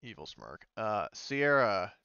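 A man with a clean, smooth voice runs a tabletop game. He explains things casually and haltingly, often trailing into asides. The recording is dry and a little dull. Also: lowish voice, sing-song, pushed and bright, no echo. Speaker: game master. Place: indoors on an online voice call.

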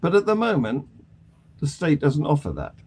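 An older British man hosting a conversational news discussion over a video call, phrasing his points in a measured, deliberate way. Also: a little high, wildly swooping pitch, darkish tone, husky. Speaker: panel discussion host. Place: small attic room at home.

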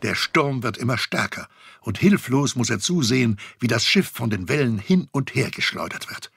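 A man's voice: medium pitch, animated, normal speed, creaky, fairly bright tone, breathy edge, no room sound. A man narrating a scripted story for a children's audio drama.

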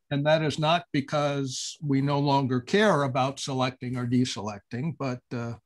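A man leading a board committee item on a video call, speaking haltingly with many pauses. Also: mid-range voice, steady tone. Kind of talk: meeting discussion.

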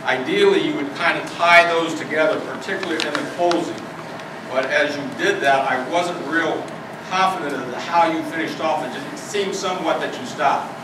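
A man's voice: fairly high pitch, normal speed. An older man giving a plain-spoken, conversational evaluation of a contestant's speech to an audience. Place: meeting hall with an audience. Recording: echoey hall, far from mic, noisy.

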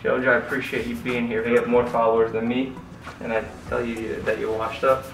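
A man's voice: mid-range voice, fairly quick, creaky. Young male host, dry teasing humor, recorded off-mic in an echoey shop with music. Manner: teasing, deadpan jokes. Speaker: host and barber. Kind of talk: joking comedy banter. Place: small barbershop, indoors. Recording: echoey room from far off with music.

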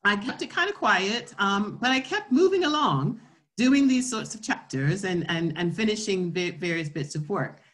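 A woman's voice: medium pitch, lively intonation, moderate pace, fairly bright tone. An American woman giving an informal autobiographical talk, reflective and feeling her way through short, repeated phrases. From Florida, southern United States. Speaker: scientist and research leader giving a talk.

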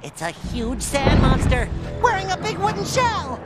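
An actor voicing a cheerful, childlike male sea sponge with a squeaky, nasal voice in a cartoon game. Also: very animated, measured pace, loud music behind the voice, full character voice. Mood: excitable.

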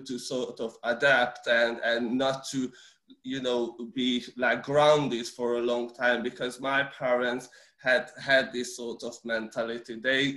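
A man in his forties, a native Hungarian speaker from Romania, speaking English with a Hungarian accent. He talks haltingly over a video call, with many restarts and repeated words. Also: medium pitch, pushed and bright, roomy sound. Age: 40s. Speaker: interview guest. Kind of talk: casual video-call interview.

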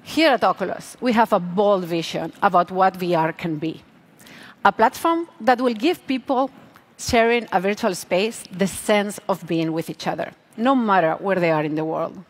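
Deliberate woman presenting a tech keynote. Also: mid-range voice, lively intonation. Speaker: product manager presenting. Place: large auditorium stage.

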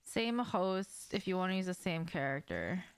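Young woman streamer, lively and lower-pitched. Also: moderate pace, clear, resonant tone, dry recording. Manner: informal, swearing, addressing viewers and reacting to gameplay. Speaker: game streamer. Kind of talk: casual livestream chat while gaming. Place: small indoor room at home.

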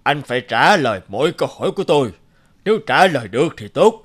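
An actor playing a smug, eccentric middle-aged landlord who bullies with theatrical mock courtesy in a cartoon fairy tale. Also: male voice, mid-range voice, very animated, fast, fairly bright tone. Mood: sly, commanding.

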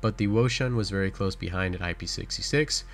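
A man's voice: deep voice, brisk, a bit bright, faint music behind. A man delivering a scripted product review as channel host, in a matter-of-fact, instructional way.

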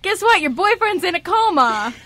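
A woman's voice: extremely high pitch, very animated, normal speed, crowd noise. A woman chatting casually as part of a radio show group. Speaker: radio show cast member. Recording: faint room noise behind.

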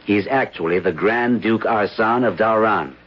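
An actor in a 1970s radio drama playing a calm government investigator who explains things in a measured way. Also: male voice, lowish voice, lightly acted. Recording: dull 1970s radio broadcast.

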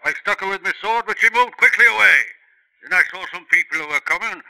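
Man, failed assassin, evasive and halting, higher bright voice, 1950s radio play. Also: creaky, full character voice, low-quality recording. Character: hired assassin, hesitant, bungling. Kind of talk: 1950s radio drama acting.

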